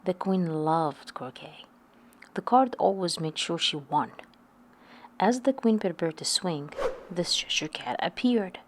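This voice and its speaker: A woman's voice: fairly low pitch, lively intonation, slow, a little hiss or hum. A woman narrating a children's storybook aloud as a read-along.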